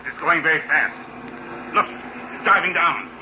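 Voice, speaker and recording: A man's voice: a little high, expressive, measured pace. A man playing a scheming, menacing crime boss and father in a 1940s radio serial, with formal, theatrical delivery. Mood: alert, urgent. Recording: muffled, noisy 1940s radio recording.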